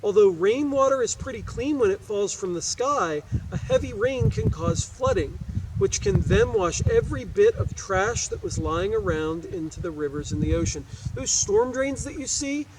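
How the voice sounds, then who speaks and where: A man's voice: sits high, animated, moderate pace, noisy background. An American man reading aloud as a teacher, explaining things in an instructive way and checking that listeners follow. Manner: instructive, asks questions and checks understanding. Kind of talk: educational read-aloud with explanatory asides.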